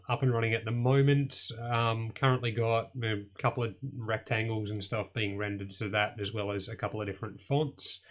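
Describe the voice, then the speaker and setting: Slightly hoarse man hosting a coding tutorial. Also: medium pitch. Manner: rambling with frequent ums and sort-ofs. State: slightly hoarse, losing his voice. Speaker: host of an electronics channel. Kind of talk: casual screen-share coding tutorial.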